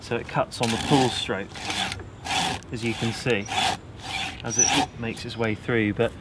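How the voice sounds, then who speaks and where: A young British man speaks in a relaxed, enthusiastic way about his kit while standing in the forest. His voice is mid-pitched, and the outdoor camera audio carries clear background noise. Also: a little slow. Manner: relaxed, enthusiastic gear talk. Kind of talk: casual outdoor chat. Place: woodland outdoors.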